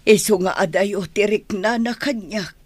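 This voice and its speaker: A woman playing a regretful mother longing for her child, husky and breathy, in radio drama with an Ilocano accent. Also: medium pitch, measured pace, natural voice. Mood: sorrowful.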